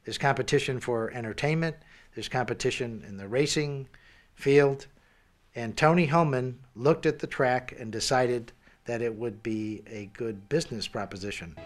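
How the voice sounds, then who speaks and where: A man's voice: mid-range voice, normal speed. An older man speaking reflectively as an interviewee in a documentary, recorded indoors.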